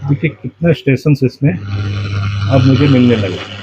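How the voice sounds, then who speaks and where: A man giving a casual hands-on demonstration, speaking haltingly and restating his phrases. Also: medium pitch, lively intonation. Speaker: host demonstrating a radio antenna kit. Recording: dull, muffled lo-fi sound.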